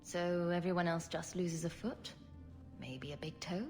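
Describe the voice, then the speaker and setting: A woman's voice: lowish voice, measured pace, darkish tone, faint music behind. A woman playing a wisecracking, sarcastic adult heroine in a video game cutscene, with dry delivery. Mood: dry sarcasm.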